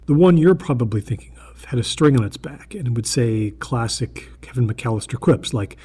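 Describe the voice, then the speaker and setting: A man reading a scripted first-person horror story in a deliberate, earnest manner, with a slightly husky voice. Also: mid-range voice, animated, dark tone.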